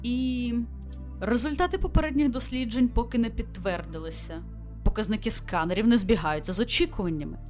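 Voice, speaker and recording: A woman voicing a composed female starship captain who reads a mission log in a formal, measured way, as scripted visual novel narration. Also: a little high, a little mellow, faint music behind, close to an everyday voice. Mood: grave, reporting.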